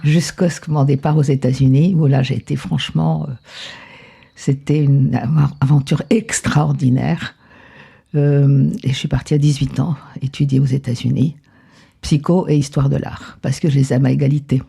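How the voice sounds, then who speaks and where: Gravelly, husky older Argentine woman. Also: very deep voice, fairly even intonation, warm tone. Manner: unhurried, reflective storytelling. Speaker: guest. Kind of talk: relaxed interview conversation.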